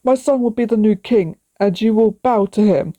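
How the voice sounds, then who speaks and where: A woman's voice: mid-range voice, moderate pace, mellow, dry recording, natural voice. A woman voicing a cruel, scornful stepmother dragon in a read-aloud storybook.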